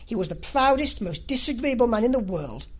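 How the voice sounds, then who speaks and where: A woman's voice: a little high, very animated, brisk. A woman reading a classic novel as an audiobook narrator, in a scripted literary style.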